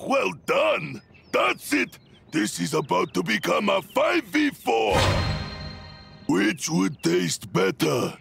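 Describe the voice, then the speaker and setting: Gravelly, booming oni demon warrior. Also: male voice, fairly bright tone, full character voice. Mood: boastful fury, then menacing relish. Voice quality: raspy, gravelly. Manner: theatrical, booming, menacing. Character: boisterous, bloodthirsty male oni demon warrior who relishes slaughter. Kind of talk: video game character voice lines.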